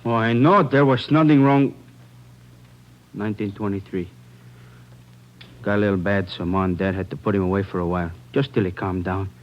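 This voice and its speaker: An actor playing a rueful, obliging grocer in his thirties, speaking in a halting, earnest, plain way in an old radio drama. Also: fairly low pitch, fairly even intonation, natural voice. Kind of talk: 1940s-50s radio drama acting. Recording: dull old radio recording.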